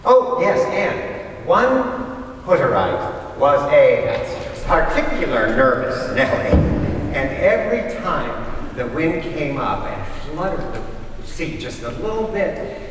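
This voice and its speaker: A man presenting and telling stories on stage in a conversational but scripted, deliberate manner. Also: medium pitch, big pitch swings. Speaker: readers theater presenter and storyteller. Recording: echoey hall from far off, faint noise.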